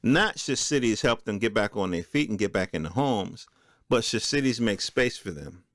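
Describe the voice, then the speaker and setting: A man's voice: fairly low pitch, animated, normal speed, a bit bright, no room sound. Casual American man, close dry sound. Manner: informal, opinionated, conversational, talks directly to listeners. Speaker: podcast host. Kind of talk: casual solo commentary, reading and reacting to news articles.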